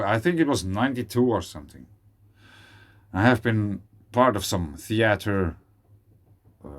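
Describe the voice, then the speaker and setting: A man in his forties with a Swiss German accent, rambling in a casual solo video with many fillers and restarts. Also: on the low side. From Switzerland. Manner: rambling, hesitant, with frequent fillers. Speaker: video creator. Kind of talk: casual monologue.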